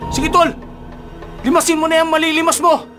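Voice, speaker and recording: An actor playing a loyal young accomplice, blunt and streetwise, in a Filipino radio drama. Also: male voice, extremely high pitch, flat delivery, moderate pace. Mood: menacing. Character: loyal young accomplice, nervous then threatening robber. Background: dramatic music.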